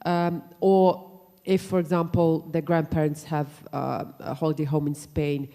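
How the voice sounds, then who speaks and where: A woman from Croatia asking a panel a question from the audience, pausing often to find her words. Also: sits low, little pitch movement, dark tone. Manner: hesitant, searching for words. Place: lecture hall. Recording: long hall reverb.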